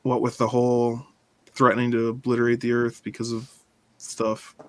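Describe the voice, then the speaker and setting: A man's voice: mid-range voice, completely flat delivery, normal speed. A man hosting a casual online fan discussion, talking loosely with many fillers. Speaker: podcast host.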